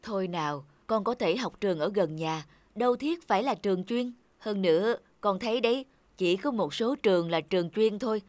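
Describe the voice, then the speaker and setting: A woman playing a gentle mother, soothing and concerned, in an animated cartoon. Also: mid-range voice, normal speed, no echo, natural voice. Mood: soothing, worried. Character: gentle, worried mother comforting her daughter.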